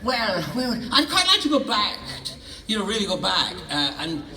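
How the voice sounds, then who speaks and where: An older Scottish man tells stories in a high, sharply bright voice that leaps between tones. He is playful and theatrical as he answers questions on stage. His voice carries through a big echoing theatre and sounds some way from the mic. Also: very animated, moderate pace. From Scottish Highlands. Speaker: guest actor answering audience questions. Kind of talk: theatre-show Q&A storytelling. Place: large theatre hall. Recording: stage mic in a reverberant hall, heard from a distance.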